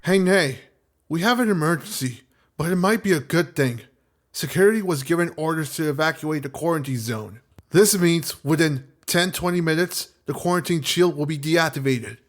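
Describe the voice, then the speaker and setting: Audio drama crewman, male actor: lightish high male pitch, anxious, earnest, caring sci-fi role. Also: moderate pace, natural voice. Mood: worried, urgent. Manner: earnest, worried.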